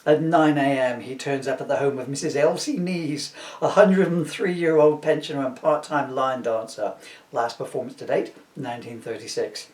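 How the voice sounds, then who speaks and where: A man's voice: medium pitch, steady tone, moderate pace, darkish tone. Chatty middle-aged man in an echoey room. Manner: jokey, rambling asides, amused by his own jokes. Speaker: author reading from his own children's book. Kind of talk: informal, chatty video book reading. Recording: roomy echo, distant microphone.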